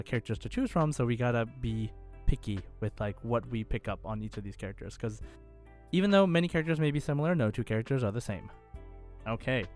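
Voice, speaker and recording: A man co-hosting a podcast, chatting casually through a quiz. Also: medium pitch. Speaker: podcast host.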